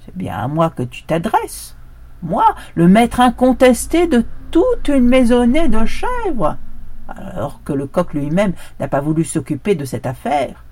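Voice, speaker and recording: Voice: medium pitch, huge pitch swings, unhurried, a little mellow, pitched above the performer's own voice, exaggerated voice. A storyteller voicing a proud, bearded old billy goat who brushes off a plea with scorn. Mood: scornful. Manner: bleating, haughty and dismissive.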